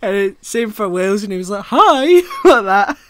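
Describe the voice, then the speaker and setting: Animated storyteller with a dry, close sound. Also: mid-range voice, moderate pace, no room sound. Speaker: podcast co-host. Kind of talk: casual, sweary comic chat.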